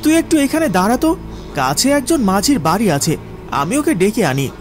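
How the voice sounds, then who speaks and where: A man acts a loyal young villager in a cartoon ghost story. The voice is very high for a male and very animated, with an assured, knowing manner. Faint music plays behind it. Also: normal speed. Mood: brisk, reassuring. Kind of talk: animated horror story character acting.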